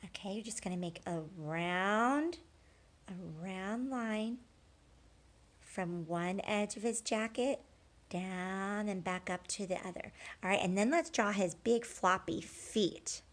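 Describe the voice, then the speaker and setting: A woman's voice: medium pitch, takes it slowly. A woman teacher talking children through a drawing step by step, conversational and encouraging, often checking in with short questions.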